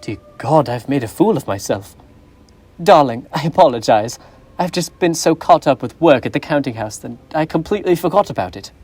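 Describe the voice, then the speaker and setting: A young woman on a school stage playing a gruff, defensive old miser who stammers and pleads. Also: low-pitched, animated, a little fast, darkish tone. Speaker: stage actor. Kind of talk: school stage play acting.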